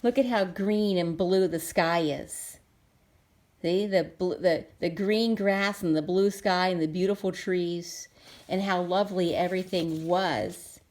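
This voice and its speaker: A woman's voice: lowish voice, unhurried, darkish tone. A woman reading a children's book aloud expressively, breaking off for simple chatty asides and questions to young listeners. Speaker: storybook reader.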